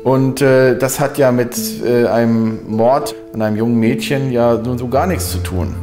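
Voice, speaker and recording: A man's voice: mid-range voice, moderate pace, over music. A man in his forties, a lawyer, recollecting in a documentary interview indoors. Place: dim indoor room, interview setup.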